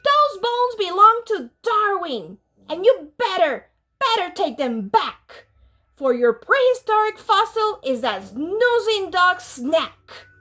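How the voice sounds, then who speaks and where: A woman voicing a bossy, scolding mother cat from a storybook, loud and emphatic. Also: very high-pitched, very animated, takes it slowly, pitched above the performer's own voice, full character voice. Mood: stern.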